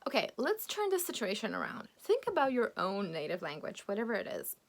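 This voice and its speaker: A woman's voice: medium pitch, huge pitch swings, brisk. A young woman from Poland, a native Polish speaker, giving a casual, encouraging English lesson to camera, laughing often. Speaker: English coach.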